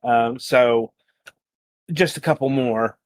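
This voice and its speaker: A man hosting a live-streamed interview, asking questions in a measured, polite way. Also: mid-range voice. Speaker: podcast host, interviewer.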